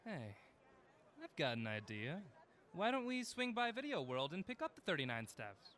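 A playful, needling man in an audio drama. His voice is fairly high and very animated, an upbeat film buff full of banter. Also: moderate pace. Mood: teasing. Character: teasing, upbeat male film buff, sound-business friend. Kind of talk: naturalistic audio drama dialogue.

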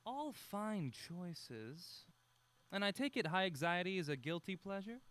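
Lively, arch young film-buff guy. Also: high voice, measured pace. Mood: playful. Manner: bantering, mock-formal, teasing. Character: playful, arch young male film fanatic. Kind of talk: audio drama dialogue.

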